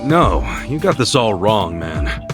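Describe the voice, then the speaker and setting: A man's voice: on the low side, very animated, takes it slowly, music in the background. An actor playing a tough, streetwise but decent man in an English video game dub, talking in casual slang. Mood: earnest, firm. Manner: casual, slangy, plain-spoken.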